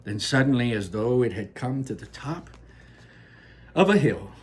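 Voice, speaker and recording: Expressive husky male storyteller. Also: mid-range voice, a little slow. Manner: lively, expressive, with asides. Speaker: reader. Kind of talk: reading a children's book aloud.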